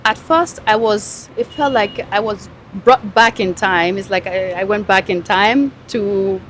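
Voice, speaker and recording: A chatty woman from Nigeria, talking as an interview guest, with easy laughs and thoughts she leaves unfinished. Her voice sits at an ordinary middle pitch for a woman. Faint street noise hums behind her. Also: unhurried, a bit bright. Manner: chatty, laughs often, trails off mid-sentence. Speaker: guest, family vlogger. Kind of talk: casual interview chat.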